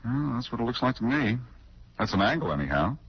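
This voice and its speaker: An actor playing a cool, wisecracking amateur detective in 1940s radio crime drama style, glib and teasing. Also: male voice, mid-range voice, sing-song, fairly quick, slightly rough. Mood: explaining, measured. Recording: dull, band-limited 1940s radio recording.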